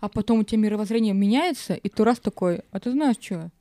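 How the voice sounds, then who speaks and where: Mellow-voiced woman chatting casually. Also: mid-range voice, expressive, fast, no echo, clean studio sound. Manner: conversational, acts out imagined remarks. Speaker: podcast participant. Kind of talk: casual chat.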